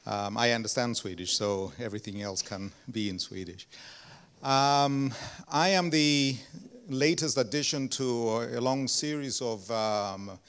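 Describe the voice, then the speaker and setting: A man's voice: medium pitch, a little slow, fairly bright tone, smooth. A man directing a research center, giving opening remarks at an anniversary event, speaking English as a second language with many ums and uhs and self-deprecating humor. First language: not English (non-native speaker).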